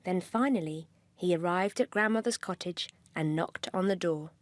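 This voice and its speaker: A woman's voice: lowish voice, normal speed. A woman storyteller reading a scripted children's tale as narrator. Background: music.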